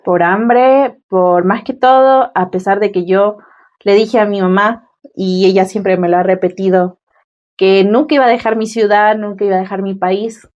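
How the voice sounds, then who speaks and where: A woman's voice: medium pitch, fairly even intonation, moderate pace, a little mellow, muffled recording. A woman in her twenties with a Venezuelan accent, telling her personal story as an interview guest. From Mérida, Venezuela.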